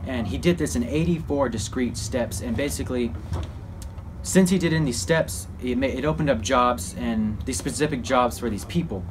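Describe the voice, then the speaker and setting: A man's voice: medium pitch, normal speed, noisy background. A man giving an informal mock news report in a folksy, rambling, repetitive way. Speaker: presenter in a student history project.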